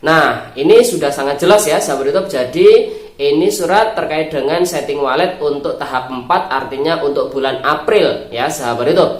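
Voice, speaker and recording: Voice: mid-range voice, moderate pace. Nasal, congested Indonesian YouTube host, echoey room. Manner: addresses viewers repeatedly, explanatory. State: stuffed-up nose from flu. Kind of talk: informational vlog narration, reading out an official letter. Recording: roomy echo on the voice.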